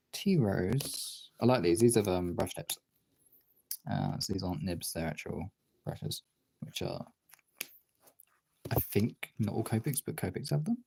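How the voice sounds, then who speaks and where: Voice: mid-range voice, vocal fry, dark tone, a little hiss or hum. A streamer chatting casually while drawing, rambling and thinking aloud in a self-deprecating way.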